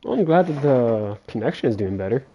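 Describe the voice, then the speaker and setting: Dark, mellow-voiced casual game streamer. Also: medium pitch, animated, brisk, muffled recording, a little hiss or hum. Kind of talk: casual livestream game commentary.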